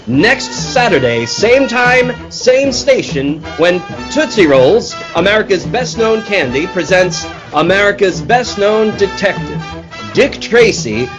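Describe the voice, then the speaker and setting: An eager old-time radio announcer, played by a man, pitched high and swooping widely. He sells with a bouncy, theatrical, folksy delivery in 1940s style. Music plays behind him. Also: exaggerated voice, poor audio. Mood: dramatic, announcing. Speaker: announcer and circus owner actor.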